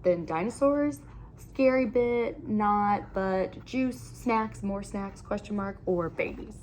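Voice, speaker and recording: A woman reading a children's picture book aloud in an animated, expressive storytelling manner with emphatic phrasing. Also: mid-range voice, slow pace, a little hiss or hum.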